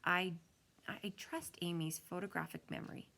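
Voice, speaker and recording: A woman's voice: lowish voice, steady tone, moderate pace, creaky, pushed and bright. Woman acting confident, bold schoolgirl. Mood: earnest. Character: bold, smart schoolgirl backing her friend.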